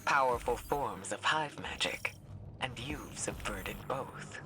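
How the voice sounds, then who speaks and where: An actor voicing a solemn, shrewd mystic mentor in a video game, speaking in a measured, cryptic way. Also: mid-range voice, lively intonation, pushed and bright, faint music behind. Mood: grave, resolute. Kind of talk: scripted video game dialogue.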